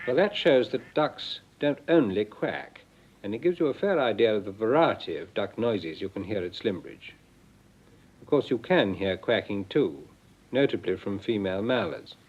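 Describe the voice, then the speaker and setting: A man giving measured, explanatory scripted narration for an educational record, heard on a vintage recording. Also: fairly low pitch, lively intonation, a little mellow, a little hiss or hum. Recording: dull, band-limited vintage record.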